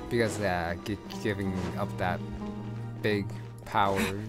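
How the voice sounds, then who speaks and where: A man's voice: deep voice, steady tone, takes it slowly, loud music behind the voice. A man giving casual gameplay commentary, rambling as he thinks aloud. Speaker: gaming video host. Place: voice-over with game music behind.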